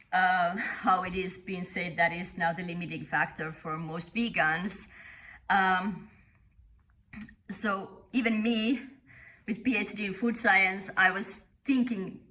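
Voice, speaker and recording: A middle-aged woman from Finland with a Finnish accent, speaking as a company founder in an interview, measured and thoughtful with frequent pauses. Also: on the low side, sharp tone. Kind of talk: interview monologue.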